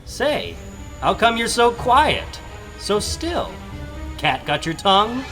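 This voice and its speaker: A man's voice: high voice, sing-song, slow, bright, forceful tone, soft background music, heavily acted. A man voicing a cocky, wisecracking young superhero in a comic book dramatic reading, boyish, breezy and slangy. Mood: taunting, puzzled.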